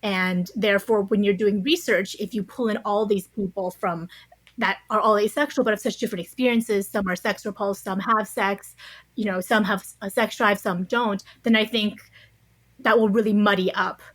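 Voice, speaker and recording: A woman's voice: mid-range voice, steady tone, moderate pace, no room sound. A woman speaking as an interview guest, explaining things in an articulate, conversational way. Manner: articulate, explanatory, often checks with "you know" and "right?". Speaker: podcast guest, author interviewed on a book.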